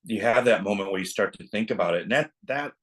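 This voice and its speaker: A man speaking as a film director being interviewed, reflective and halting, often restarting his thoughts as he goes. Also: lowish voice, breathy edge.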